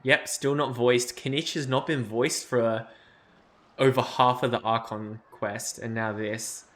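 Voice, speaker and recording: A man's voice: medium pitch, steady tone, measured pace, a bit bright. A man streaming live game commentary, blunt and sarcastic, with game music behind.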